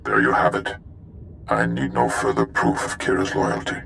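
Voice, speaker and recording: A man voicing an ancient, wise alien Jedi master in a video game, with a very deep, gravelly rumble and a measured, forgiving manner. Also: big pitch swings, bright, forceful tone, heavily acted. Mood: calm, thoughtful. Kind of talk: video game cutscene acting.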